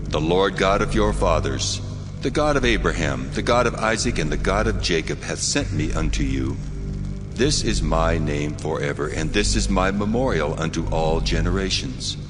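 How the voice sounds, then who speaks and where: A man's voice: sits low, animated, moderate pace, music in the background. A man playing God as a solemn, commanding divine voice in a dramatized audio Bible. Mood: majestic, declaring. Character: God, solemn commanding male voice from burning bush. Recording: long cavernous reverb.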